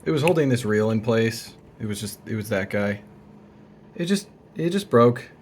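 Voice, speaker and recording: A young man talking casually and deadpan as he narrates a hands-on repair, with slangy, wry delivery. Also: lowish voice, normal speed, a little hiss or hum. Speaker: video creator. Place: indoor room at a desk.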